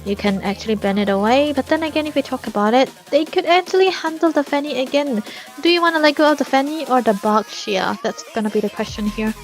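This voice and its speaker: A woman's voice: high voice, quick pace, soft background music. A woman casting a live esports match, breaking down plays and draft picks in detail. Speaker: esports caster.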